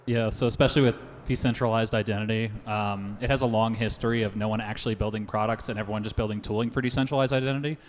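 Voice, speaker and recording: A man on a conference panel giving direct, practical advice, rapid and blunt. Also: lowish voice, steady tone, slight background noise. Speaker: panelist, investor and builder. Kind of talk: panel debate answer. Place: large conference hall. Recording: roomy echoey conference hall.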